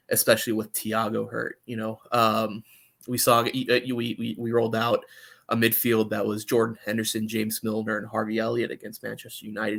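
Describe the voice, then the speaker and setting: A man's voice: medium pitch, creaky, fairly bright tone. A man giving opinionated, conversational football analysis in a casual podcast chat. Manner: opinionated, conversational, often checks in with "you know".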